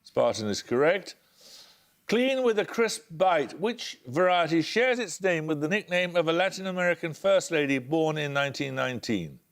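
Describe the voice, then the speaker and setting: A male quiz show host in a television studio, measured and precise. His voice sits fairly high and moves in lively swoops as he sets out introductions and questions.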